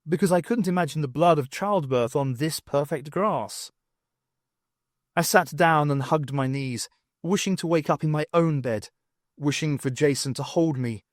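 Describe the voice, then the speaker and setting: A man reading a story aloud as an audiobook narrator, in an expressive, scripted storytelling delivery. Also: on the high side, no echo, clean studio sound. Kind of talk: scripted dramatic narration of fiction.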